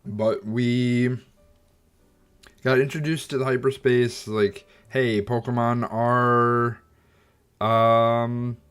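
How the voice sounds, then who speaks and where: A man's voice: medium pitch, level tone, drawn-out words, fairly bright tone, clean tone, soft background music. A man streaming a game and chatting about it, thoughtful and hesitant, with a slightly stuffy, nasal sound. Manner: thoughtful, hesitant, many ums and restarts. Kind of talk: casual gameplay commentary.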